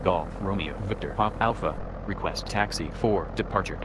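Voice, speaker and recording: A man's voice: sits low, normal speed, close to an everyday voice. A man voicing a businesslike light aircraft pilot in a simulator game, making clipped, formal radio calls. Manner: clipped, procedural. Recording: clear aircraft engine noise.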